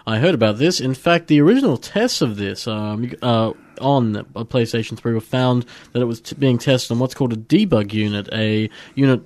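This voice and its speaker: A man's voice: mid-range voice, normal speed, few pauses, darkish tone, no echo. A man co-hosting a casual tech radio show, chatty and jokey, often correcting himself mid-sentence. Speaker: radio co-host.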